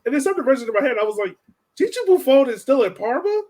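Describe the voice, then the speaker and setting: A man hosting a podcast in a casual sports chat, informal and slangy, telling things in an animated way. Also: very high voice, rapid-fire. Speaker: podcast host.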